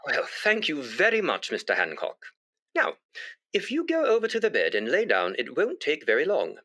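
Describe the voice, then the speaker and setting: An actor playing a brisk, patient doctor in a British radio comedy, formal, explanatory and reassuring. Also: male voice, a little high, huge pitch swings, bright, forceful tone, exaggerated voice. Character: brisk, patient doctor persuading a blood donor.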